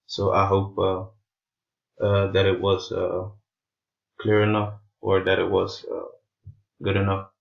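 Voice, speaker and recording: A man, a native Portuguese speaker with non-native English, giving an informal explainer in a halting, hesitant way, searching for words. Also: deep voice, completely flat delivery, vocal fry, muffled recording. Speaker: presenter of an explainer video.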